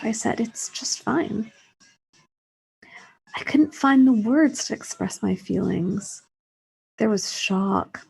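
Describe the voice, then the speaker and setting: Female story reader, dark husky tone, literary first-person narration. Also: medium pitch, low-quality recording.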